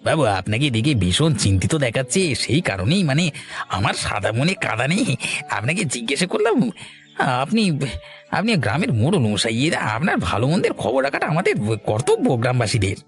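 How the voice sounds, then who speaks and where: A man's voice: medium pitch, wildly swooping pitch, brisk, soft background music. A man voicing a chatty, teasing villager in a cartoon story, wheedling and eager to please. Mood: deferential. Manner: wheedling, talkative, placating. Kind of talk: dramatized cartoon story dialogue.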